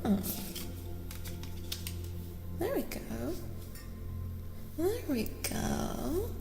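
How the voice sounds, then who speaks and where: A woman live streamer reading tarot in soft-spoken ASMR style, chatting casually and gently, sometimes dropping to a whisper and repeating phrases. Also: sits high, sing-song, very slow, vocal fry. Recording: reverberant echo with music behind. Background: music.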